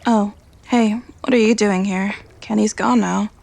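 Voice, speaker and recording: A woman's voice: mid-range voice, fairly even intonation, a little slow, gravelly, darkish tone. An actress playing a restless, withdrawn young woman in a naturalistic audio drama, subdued but resolute. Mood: subdued, wistful.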